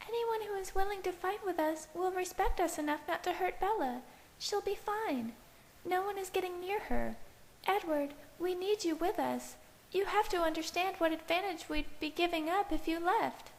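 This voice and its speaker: A woman's voice: extremely high pitch, normal speed, voice pushed up. A woman voicing an earnest, insistent young female vampire who reassures others, in an audiobook story. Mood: reassuring, insistent. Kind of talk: audiobook character voice. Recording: hissy home recording.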